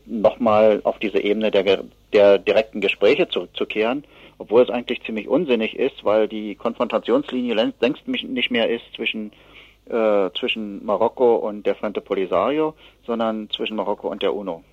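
Halting low-voiced man, dull lo-fi radio sound. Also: fairly even intonation, slightly rough, no room sound. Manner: halting, hesitant, with frequent filled pauses and rephrasing. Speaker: expert guest from an advocacy association. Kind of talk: spontaneous political analysis in an interview.

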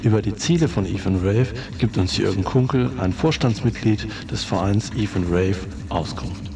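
A man's voice: lowish voice, normal speed, vocal fry. A man narrating a scripted radio report. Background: music.

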